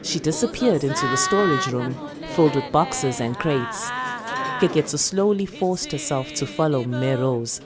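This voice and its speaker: A woman's voice: a bass voice, expressive, moderate pace, music in the background. A woman with a South African accent giving a dramatic narration of a short story. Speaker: actor reading a short story.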